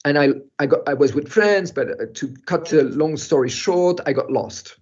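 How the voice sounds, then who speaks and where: An older man who grew up in Europe, speaking as a guest in an online talk and telling a personal story in a halting, reflective way with soft laughs. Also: fairly high pitch. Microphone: video call. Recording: muffled video call audio.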